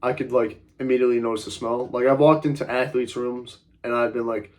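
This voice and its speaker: A young man with a stuffy, congested voice talks straight to his camera in a casual vlog. His pitch sits in an ordinary middle range. He rambles through his advice with lots of "like" and "uh" and stays blunt, now and then throwing in a curse. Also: steady tone, a little fast, a little mellow. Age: young adult. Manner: rambling, frequent fillers like "like" and "uh"; blunt, occasionally swears. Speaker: self-improvement vlogger.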